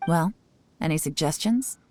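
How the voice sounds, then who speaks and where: A woman voicing a composed, shrewd intelligence operative in a video game, speaking in a measured, self-assured way. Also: low-pitched, expressive, a little mellow. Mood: cool, controlled. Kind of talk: scripted video game voice acting. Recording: background music under the voice.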